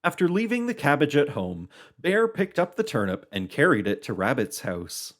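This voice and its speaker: A narrator reading a scripted children's puppet show story with an expressive storybook delivery. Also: medium pitch, moderate pace.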